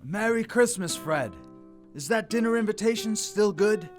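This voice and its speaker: A man playing a reformed old miser in an audio drama, giddy, warm and exclamatory, often repeating himself. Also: high voice, animated, moderate pace. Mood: humble, affectionate. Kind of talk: theatrical audio drama acting. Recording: music playing behind the voice.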